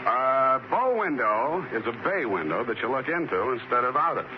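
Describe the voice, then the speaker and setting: An actor playing a cocky, flirtatious private detective in a 1940s radio drama, wry and casual with drawling hesitations. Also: male voice, mid-range voice, big pitch swings, normal speed, background noise, natural voice. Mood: teasing, wry. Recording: muffled 1940s radio recording.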